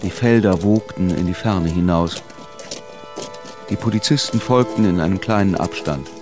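An actor narrating a literary radio play as a solemn, measured storyteller. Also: male voice, low voice, music in the background, close to an everyday voice. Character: solemn storyteller recounting a deportation.

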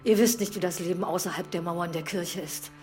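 A woman playing a sorrowful, discreet older widowed housekeeper in a radio drama, speaking hesitantly and deliberately. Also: on the low side, fairly even intonation, darkish tone, faint music behind, natural voice. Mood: earnest, firm.

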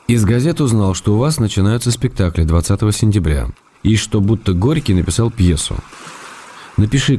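A tender, wry ailing writer. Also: male voice, sits low, dark tone, a little hiss or hum. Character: ailing male writer writing tender letters to his wife. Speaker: actor reading letters. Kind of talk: radio play letter reading.

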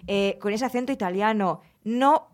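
An enthusiastic woman hosts a casual podcast chat with friends. She talks with clear emphasis, pressing her points and stretching words for effect. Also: medium pitch, moderate pace. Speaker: podcast host.

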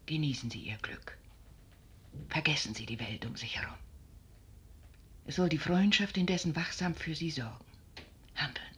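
Scheming aristocratic aunt: very breathy, husky, swooping intonation, sly 1950s radio acting. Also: female voice, low voice, lively intonation, normal speed, long pauses, a bit bright, full character voice. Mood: teasing, knowing. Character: shrewd, scheming noble aunt, teasing and controlling.

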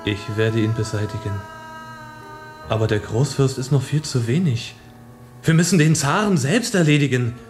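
An actor playing a sensitive, idealistic young revolutionary in a German radio play, speaking earnestly and with passion. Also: male voice, medium pitch, normal speed, darkish tone, roomy sound, faint music behind, lightly acted. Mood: determined, troubled. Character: idealistic, sensitive young male revolutionary who loves life.

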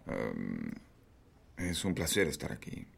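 A man dubbing a calm, measured new newspaper editor in a Spanish film dub. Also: extremely low pitch, creaky, breathy edge, full character voice.

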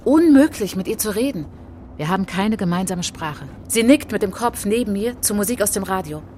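An actress playing a reflective French woman traveller in a radio play monologue, speaking in a measured, intimate, literary way. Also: medium pitch, animated, warm tone, a little hiss or hum, close to an everyday voice. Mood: tender wonder.

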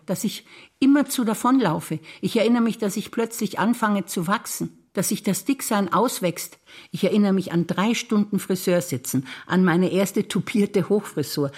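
An older woman from Bavaria, a stage actor, reciting memories in a measured, reflective way. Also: mid-range voice, a little mellow. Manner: measured, reflective, list-like delivery.

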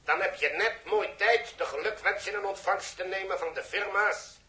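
A man's voice: high-pitched, moderate pace, sharp tone. A man acting a formal, unyielding ground controller on a radio link in a 1960s Dutch radio drama. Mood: brisk, businesslike. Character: officious male mission controller, brisk and unyielding. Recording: echoey old radio drama recording.

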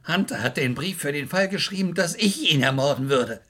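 An actor playing a cornered, self-righteous lawyer confessing to murder in a 1970s radio crime drama, husky and deliberate. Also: male voice, on the high side, lively intonation, a bit bright. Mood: menacing, defiant.

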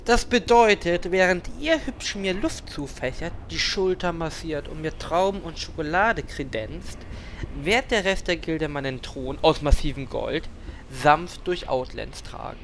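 Man playing a bright-voiced, pompous lecherous gnome. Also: on the high side, expressive, measured pace, slight background noise. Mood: pompous, demanding. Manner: pompous, scheming. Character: lecherous, boastful little male gnome escape artist. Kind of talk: comedic fantasy radio-play acting.

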